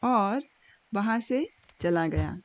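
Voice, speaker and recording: A woman's voice: medium pitch, animated, slow pace. A woman storyteller reading a scripted moral fable as narration. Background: faint music.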